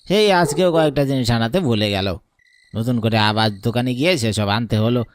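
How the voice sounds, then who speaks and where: A man's voice: mid-range voice, fast talker, dry recording, natural voice. A man playing a jittery village man in a Bengali horror cartoon, muttering and exclaiming to himself. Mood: fretful, puzzled. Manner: muttering to himself, exclaiming, stammering when scared. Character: frightened village man, Arun, haunted by dead friend. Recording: background music under the voice.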